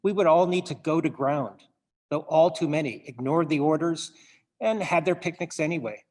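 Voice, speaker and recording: A man, a playwright, addresses the audience in a theatrical monologue, wry and halting, given to wordplay. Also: on the high side, darkish tone.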